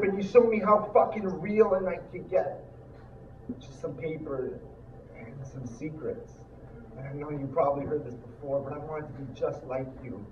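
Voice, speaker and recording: A young man performs slam poetry on stage in a fairly high voice, with earnest, dramatic phrasing. He sounds distant from the microphone in an echoing room. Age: young adult. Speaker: slam poet. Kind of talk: spoken word poetry performance. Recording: echoey room, distant muffled mic, noisy.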